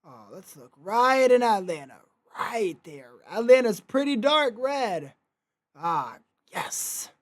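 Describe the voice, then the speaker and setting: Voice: medium pitch, expressive, measured pace, hardly any pauses. A streamer giving casual, off-the-cuff reaction commentary on a video.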